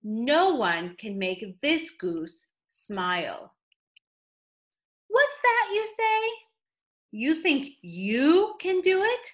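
A woman's voice: high-pitched, big pitch swings, drawn-out words, fairly bright tone. A woman reading a picture book aloud in a theatrical storytelling style, addressing a child listener with dramatic emphasis.

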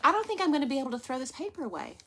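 A woman talks to her viewers in a bright, sharp, fairly high voice, calling them "y'all." She speaks from a workroom, picked up by a camera above her, with faint noise behind. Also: very animated, racing. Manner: chatty, addresses viewers as "y'all". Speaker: vlog host. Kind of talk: casual haul unboxing. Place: indoor workroom with shelves.

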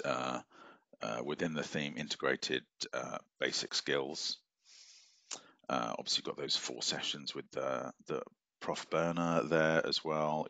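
A man's voice: rumbling low, fairly bright tone, no room sound. A middle-aged man giving a recorded university lecture from his office over a webcam, rambling with many fillers and restarts. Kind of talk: recorded lecture walking through a syllabus. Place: office at a desk, screen-sharing.

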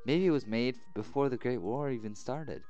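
A man voicing a curious, earnest, sweet anime girl in a high-pitched, girlish, wondering voice. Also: normal speed, warm tone, muffled recording, lightly acted. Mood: wistful. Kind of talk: visual novel character read-aloud.

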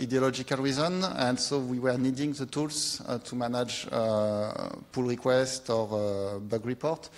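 A young man from France with a French accent, giving a technical conference talk in a lecture hall, pausing often with filler sounds. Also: medium pitch. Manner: hesitant, many filler sounds. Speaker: conference speaker.

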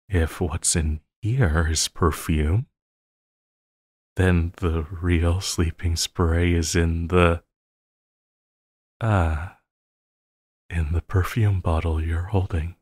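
A man playing a possessive supervillain lover in an intimate audio roleplay, coaxing and pleading with a halting delivery and quiet menace. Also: sits low, husky, no room sound, full character voice. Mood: baffled.

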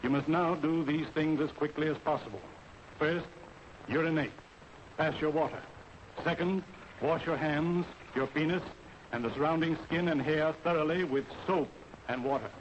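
A man with an American accent lecturing in a formal, authoritative way as an army medical officer in a 1940s training film. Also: a little high. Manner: formal, authoritative, deliberate instruction. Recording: dull, noisy 1940s film soundtrack.

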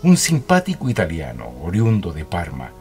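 An actor narrating a radio drama as a storyteller. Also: male voice, deep voice, huge pitch swings, moderate pace, a little mellow, full character voice. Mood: wry, storytelling. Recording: music behind the voice.

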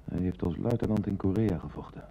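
A dutiful police detective in a radio play. His voice sits fairly low, and he reports to his superior in clipped, factual phrases. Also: a little fast, mellow, poor audio. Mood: factual, knowing. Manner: matter-of-fact, clipped reporting. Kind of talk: Dutch radio play acting.

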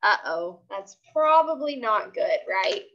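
A woman reading a children's story aloud, expressively and in a childlike, animated manner. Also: mid-range voice, slow, lo-fi sound, slight background noise.

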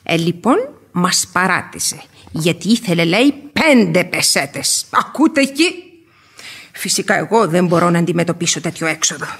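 A woman's voice: lowish voice, lively intonation, fairly bright tone, slightly rough, heavily acted. An actress playing a garrulous, fussy middle-aged mother in a theatrical radio play. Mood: indignant. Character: chatty, complaining middle-aged mother of spinster daughters.

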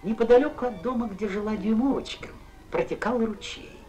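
A woman narrating a scripted fairy tale in a gentle, measured storytelling manner. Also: a little high, a little hiss or hum, low-quality recording.

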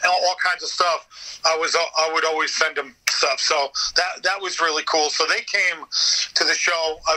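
A man's voice: fairly high pitch, sharp tone, low-quality recording. A man speaking as an interview guest in casual, enthusiastic chat, swearing freely. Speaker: podcast guest, voice actor.

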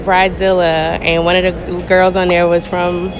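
A young woman talks easily and at length in a casual mall interview. She rambles a little and laughs at her own guesses. Music is clearly heard behind her. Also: on the low side, flat delivery, moderate pace. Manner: chatty, giggly, rambling. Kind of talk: casual chat. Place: indoor shopping mall.

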